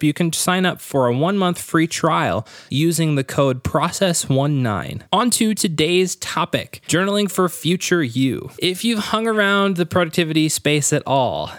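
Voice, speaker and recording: A man's voice: mid-range voice, dry recording, studio quality. Congested man hosting a podcast. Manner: earnest, conversational. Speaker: podcast host. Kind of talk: solo podcast talk. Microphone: studio microphone.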